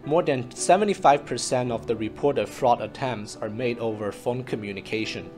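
A man with a mid-pitched voice reads a scripted explainer as a researcher. His delivery is measured and formal. Instrumental music plays behind him. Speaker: researcher presenting a project. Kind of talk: scripted narration, explainer presentation.

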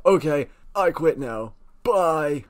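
Man acting out cartoon contestant, unhurried slow speech, higher male pitch, self-satisfied, mock-dramatic, amateur web animation. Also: expressive. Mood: breezy farewell.

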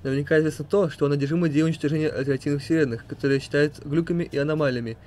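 A man's voice: on the high side, steady tone, very fast, darkish tone. A man reading questions and explanations aloud as narrator of an amateur comic dub.

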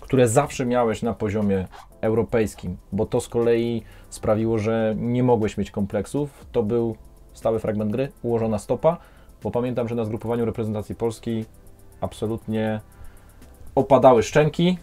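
Talkative 30s male host, mellow low-bright voice, studio interview. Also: steady tone, moderate pace, soft background music. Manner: talkative, reflective questions. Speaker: podcast host. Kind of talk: casual interview chat. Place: small studio with brick wall.

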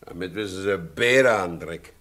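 An actor playing a stubborn, aging carter in a radio drama, blunt and rustic. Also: male voice, deep voice, moderate pace, a bit bright. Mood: gruff, defiant. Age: middle-aged. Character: naive aging Silesian carter, wounded, stubborn, flaring into anger. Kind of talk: 1960s German radio drama acting. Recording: roomy 1960s radio play recording.

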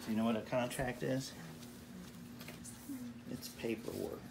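A man's voice: medium pitch, fairly even intonation, unhurried, creaky, background noise. An older man patiently explains a contract to a young girl in a living room, using simple words. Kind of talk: explaining paperwork to a child.

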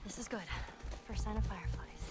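A woman's voice: mid-range voice, brisk, warm tone, soft background music, natural voice. A woman voicing a curious, teasing teenage girl survivor in a naturalistic video game, playful and informal. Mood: alert. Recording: noisy, distant game audio under stream.

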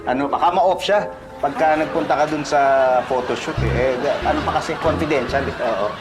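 A man's voice: a little high, fairly quick, over music, natural voice. An actor playing a wealthy water-company owner who hesitates and fills his talk with ums. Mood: hesitant. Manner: hesitant, filler-heavy, laughs nervously.

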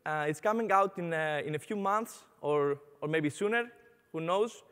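A man's voice: fairly high pitch, moderate pace, fairly bright tone, smooth, in a large hall. A young man from Greece with a Greek accent giving a conference talk on stage, upbeat, with frequent "uh" fillers. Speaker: conference speaker. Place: large conference hall on stage.

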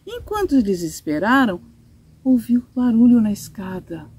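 Animated, high-pitched Brazilian woman storyteller. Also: normal speed, slightly rough. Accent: Brazilian Portuguese. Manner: simple, explanatory storytelling for children. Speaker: storyteller reading a children's audiobook. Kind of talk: expressive children's story reading. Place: outdoors in a sunny garden patio, seated.

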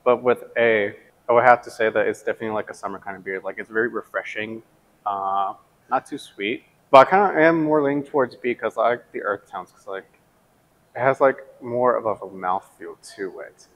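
Lowish male voice, thoughtful taster, lapel mic. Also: brisk, a bit bright, low-quality recording. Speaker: coworker taste tester. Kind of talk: casual tasting comments. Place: brewery taproom.

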